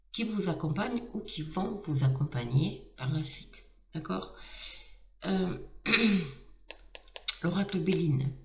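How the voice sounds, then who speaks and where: A hoarse woman giving a casual, enthusiastic tarot reading to her viewers, with many fillers and restarts. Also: on the low side, reverberant, recorded from a distance. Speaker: tarot card reader. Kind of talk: casual astrology reading to camera.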